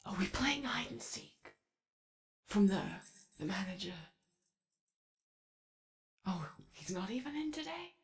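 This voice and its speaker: An anxious, eager-to-please animatronic daycare attendant acted by a woman in an ASMR roleplay. The voice is slow and soft with a fry in it. The speech is hesitant and rambling, always keen to be friendly. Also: medium pitch, expressive. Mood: gentle, rambling.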